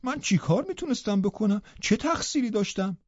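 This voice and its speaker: A man reading an audiobook, voicing a rough, awkward young man who talks in a hesitant murmur. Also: high voice, expressive, dry recording, muffled recording. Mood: aggrieved.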